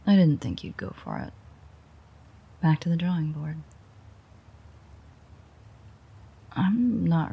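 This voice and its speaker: A woman playing a breathy, sardonic vampire woman. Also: low voice, mellow, heavily acted. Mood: dry, teasing. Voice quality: breathy, husky, often near a whisper. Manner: dry, rambling, sarcastic banter. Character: weary, sardonic, teasing female vampire with a foul mouth. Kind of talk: audio roleplay acting, intimate monologue.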